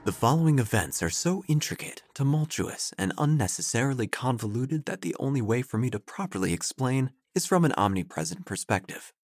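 An actor playing an earnest, wordy obituary writer who narrates an audio drama in a deliberate, deadpan way. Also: male voice, medium pitch. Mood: deadpan, florid. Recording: faint music behind.

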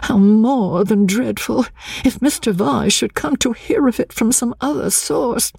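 A woman voicing a fussy, apologetic older spinster who talks in a fluttery, over-polite way, with a husky voice. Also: medium pitch, big pitch swings, unhurried, a little mellow, no echo, clean studio sound, voice pushed up, full character voice. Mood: anxious.

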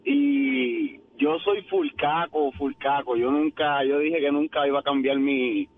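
A man's voice: fairly high pitch, lively intonation, a little slow, a little hiss or hum. A Puerto Rican man calling in to a radio show, telling an anecdote in an easygoing, laughing way. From Puerto Rico. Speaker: caller. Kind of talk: phone call to a radio show. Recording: muffled phone line.

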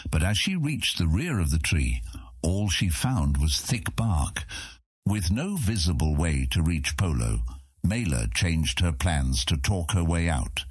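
Very deep, husky male narrator. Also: big pitch swings, unhurried, no room sound. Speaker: audiobook narrator. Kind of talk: dramatic scripted fantasy narration.

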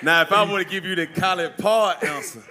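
A man's voice: high-pitched, brisk, background noise. A man, a guest football player, laid-back and profane, laughing through his answers.

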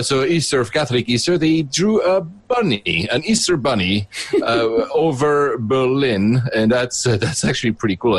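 A man from Switzerland, a podcast co-host, chatting casually and rambling through a story with many fillers and restarts. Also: lowish voice. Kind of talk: casual chat.

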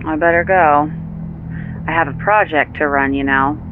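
Efficient dry assistant on a thin phone line, lots of hum, audio drama. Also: female voice, sits low, normal speed. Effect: phone filter. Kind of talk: naturalistic audio drama acting. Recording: tinny phone line, loud car hum.

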